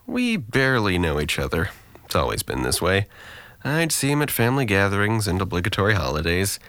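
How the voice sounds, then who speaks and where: Actor as a low-voiced, sardonic young man. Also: wildly swooping pitch, brisk, fairly bright tone, no echo, heavily acted. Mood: detached, weary. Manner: sardonic, deflecting, articulate. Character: Trent, sarcastic depressed young man, guarded and dryly witty. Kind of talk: audio drama dialogue.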